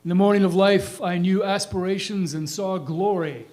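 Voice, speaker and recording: A man playing a proud dead small-town gentleman, reciting an epitaph in a measured, declamatory reader's theatre style. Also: high-pitched, steady tone, lightly acted. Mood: solemn, forlorn. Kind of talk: dramatic monologue, staged poetry reading.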